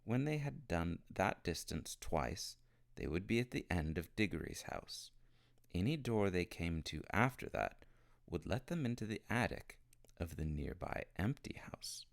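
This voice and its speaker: Man, low gravelly voice, very lively intonation, children's audiobook narration, dry close sound. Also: a little slow. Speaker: storybook reader. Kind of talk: expressive narration of a children's novel.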